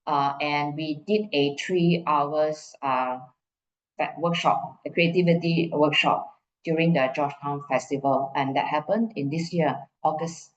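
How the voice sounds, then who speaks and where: A woman's voice: deep voice, fairly even intonation, unhurried. A Malaysian woman at the front of a conference hall, explaining her slides point by point, her voice bouncing off the walls and slightly dulled. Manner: repeats phrases for emphasis, checks in with "right?". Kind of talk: conference presentation, informal and explanatory. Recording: muffled, echoey room.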